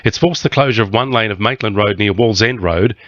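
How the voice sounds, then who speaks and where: A man's voice: lowish voice, brisk, fairly bright tone, no echo, muffled recording. Australian male newsreader, dry close sound. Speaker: news reader. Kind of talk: scripted radio news bulletin.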